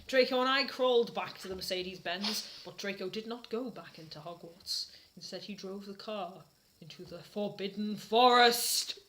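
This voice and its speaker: A British woman hosts a mocking dramatic reading. Her voice is average in pitch, with theatrical emphasis on the narration. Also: moderate pace, a bit bright, slight background noise, poor audio. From Britain. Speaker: host reading fanfiction aloud.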